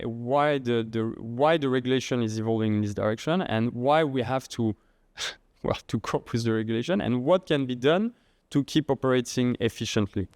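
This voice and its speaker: Middle-aged man with a French accent, podcast guest, smooth resonant voice, dry close sound, restarts his phrases often. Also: mid-range voice, a little mellow, dry recording. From France. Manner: halting, many restarts and repeated words. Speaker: guest, head of treasury and trading. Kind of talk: podcast interview.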